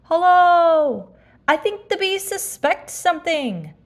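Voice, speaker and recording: A woman voicing an earnest, anxious little male toy bear in a children's storybook, speaking in a deliberate, declamatory way. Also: extremely high pitch, sing-song, pitched above the performer's own voice, heavily acted. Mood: conspiratorial.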